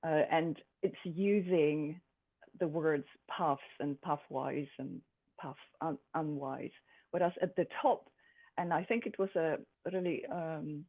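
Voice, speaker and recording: A speaker born in Poland, an artist presenting her own work in a thoughtful, halting way with many pauses and fillers. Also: medium pitch. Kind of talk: art presentation to a group. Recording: tinny phone-like sound.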